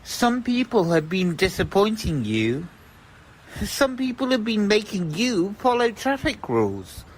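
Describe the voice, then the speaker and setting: A woman's voice: medium pitch, big pitch swings, measured pace, a little hiss or hum, low-quality recording. A woman playing a childlike, dreamy, rambling eccentric in an audio drama.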